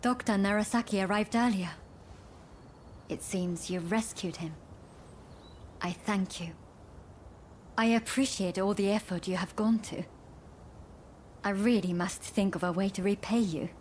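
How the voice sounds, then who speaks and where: An actress as a composed, courteous woman in a video game. She speaks formally in a medium-pitched voice, over faint background ambience. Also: a little slow, pauses often, a little mellow, a little hiss or hum, natural voice. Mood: grateful, composed. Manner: polite, formal. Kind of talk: video game cutscene acting.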